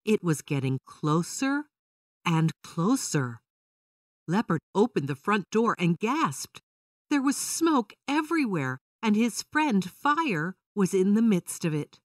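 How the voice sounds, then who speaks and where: Female children's storyteller: scripted narration, animated intonation, dry sound, a little distant from the mic. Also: mid-range voice, slow pace, dry recording.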